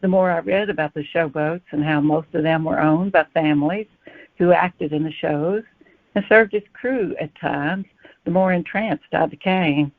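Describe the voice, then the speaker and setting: A woman's voice: sits low, normal speed, dry recording. A woman from Kentucky giving prepared, reflective answers as a guest author, in a storytelling style. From Kentucky, USA. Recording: thin phone-like sound.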